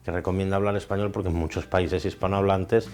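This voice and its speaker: A man answering an interview thoughtfully, pausing with fillers as he builds his points. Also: sits low, level tone, darkish tone.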